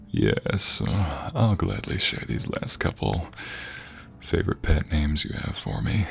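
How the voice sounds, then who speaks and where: A man playing an affectionate, playfully teasing husband in an intimate ASMR roleplay, soft, husky and gravelly, with murmured coaxing and breathy whispers. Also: rumbling low, exaggerated voice. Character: affectionate, playfully teasing, sappy husband doting on his wife.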